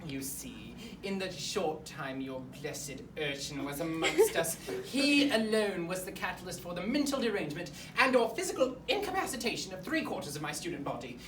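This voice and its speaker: A woman's voice: on the low side, sing-song, normal speed, few pauses, music in the background. A woman playing a pompous, awkward boarding school headmaster in a comic monologue, with fussy, rambling formal politeness. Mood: pompous complaint. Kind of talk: comic monologue in a speech competition performance. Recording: roomy, distant mic, clear background noise.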